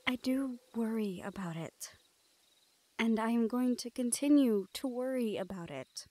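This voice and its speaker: A woman playing a teasing, protective shadow-folk thief in an intimate fantasy audio roleplay, speaking softly and often dropping toward a murmur. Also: on the high side, animated, a little slow. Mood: fond, teasing.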